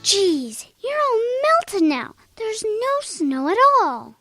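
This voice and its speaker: A woman's voice: extremely high pitch, big pitch swings, takes it slowly, music in the background, heavily acted. A woman playing a young schoolgirl in a children's radio drama, helpful, frank and plain-spoken in a childlike way. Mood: matter-of-fact.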